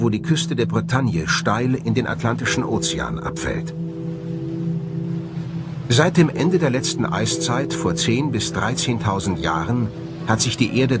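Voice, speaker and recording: A man delivering scripted documentary voice-over narration. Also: medium pitch. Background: background music.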